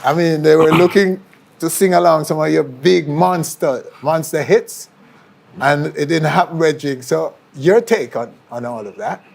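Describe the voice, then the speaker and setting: A Jamaican man works as an on-camera interviewer. His voice sits a little high for a man and has a heavy creak and gravel to it. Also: a little slow, darkish tone. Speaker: interviewer, show host.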